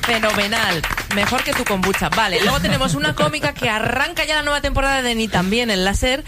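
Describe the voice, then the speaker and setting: A woman with a Spanish accent from Spain co-hosting a comedy radio quiz with excitable, exclamatory, playful banter. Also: a little high, brisk, over music, a crowd in the background. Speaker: comedy quiz show co-host.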